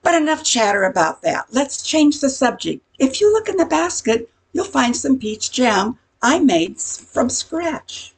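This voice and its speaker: An older woman playing a nosy, gossiping neighborhood busybody in an amateur radio comedy, chatty and insinuating with a husky, creaky voice. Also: high voice, big pitch swings, measured pace, few pauses, full character voice, rough recording.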